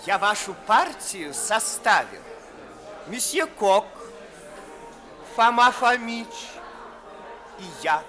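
A man's voice: sits high, animated, slow, pauses often, pushed and bright, exaggerated voice. An actor playing an obsequious young male secretary, careful and ingratiating, in classic 1950s Russian stage style. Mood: fawning. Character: obsequious, soft-mannered young secretary flattering an old lady.

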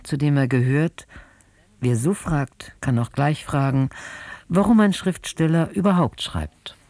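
Announcer for radio play introduction: soft mellow tone, formal measured reading, very dry studio sound. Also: mid-range voice, dry recording.

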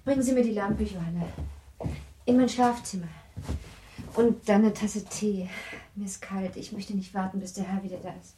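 An actress playing a melancholy, gentle young married lady of the nineteenth century in a literary radio drama, speaking in a wistful, genteel way. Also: medium pitch, steady tone, moderate pace, a little hiss or hum, close to an everyday voice, poor audio. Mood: weary, subdued. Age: young adult. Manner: wistful, genteel, softly emphatic.

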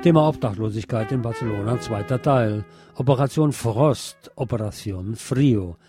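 A man presenting radio news, reading from a script in a measured bulletin style. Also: medium pitch, loud music behind the voice.

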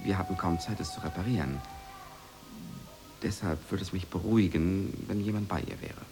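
An actor playing a polite, awkward American husband in a radio drama, stammering and hesitant but eager to please. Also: male voice, low-pitched, moderate pace, mellow, soft background music. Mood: hesitant, explaining.

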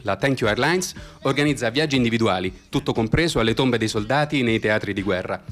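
An actor playing a mock-solemn, pompous military radio announcer who reads scripted satirical bulletins in formal style. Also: male voice, medium pitch, expressive, a little fast, a bit bright, faint music behind, lightly acted. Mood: polished sales pitch. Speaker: radio presenter.

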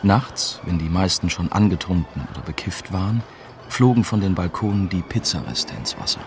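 Deep-voiced young reporter narrating. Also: male voice, expressive, moderate pace, background noise. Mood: dry, wry. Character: young, wry male German newspaper reporter recounting his story. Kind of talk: scripted first-person radio drama narration.

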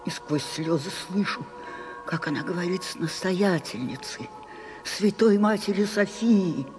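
An actress in a radio play, playing an imperious, capricious ageing stage diva with a theatrical, commanding, husky delivery. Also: female voice, fairly low pitch, unhurried, music in the background, natural voice. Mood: brooding, dramatic.

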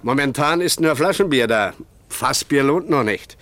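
A man's voice: mid-range voice, lively intonation, normal speed, fairly bright tone, close to an everyday voice. An actor playing a plain-spoken, homely and slightly wary male country innkeeper in a radio crime drama. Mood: chatty, obliging.

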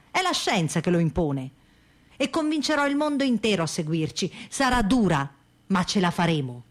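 A woman's voice: on the high side, lightly acted. A woman playing an obsessive visionary who preaches a single path of science in an emphatic, solemn radio theatre monologue.

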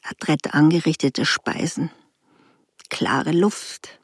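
A woman's voice: deep voice, fairly even intonation, slow, husky, full character voice. An actress playing a prim, complaining elderly German grandmother in a radio play. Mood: relieved, disdainful. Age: older. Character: prim, fussy old German grandmother who adores tidiness. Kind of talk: radio drama acting.

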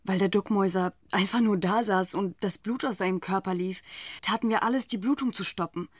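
Actress as grave, measured female narrator. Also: mid-range voice, no echo, clean studio sound, natural voice. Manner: measured, literary. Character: former gang member gravely recalling the story. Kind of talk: dramatic first-person radio drama narration.

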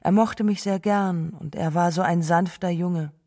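An actress playing a gentle, grieving wife who remembers a lost love in a halting, subdued way in a radio drama. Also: female voice, on the low side, fairly even intonation. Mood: wistful sorrow. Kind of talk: literary radio drama monologue.

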